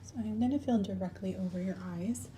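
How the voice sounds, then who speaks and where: A woman giving a soft-spoken, often whispered ASMR medical exam, gently talking through each step in the role of a clinician. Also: mid-range voice, fairly quick, a little hiss or hum. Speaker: ASMR host playing a clinical examiner.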